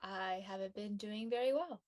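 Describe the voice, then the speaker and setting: A young actress playing a homesick, worn-down college student in a drama, hesitant and soft-hearted. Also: female voice, mid-range voice, normal speed, natural voice. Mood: subdued. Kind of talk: acted dialogue in an audio-led play.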